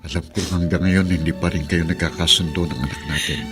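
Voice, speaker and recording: A gentle husband in a radio drama, played by a man, with a very deep, low voice. He reassures softly and patiently. Faint music plays behind him. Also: fairly even intonation, fast, close to an everyday voice. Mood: tender. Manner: tender and reassuring. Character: kind middle-aged husband recovering from paralysis.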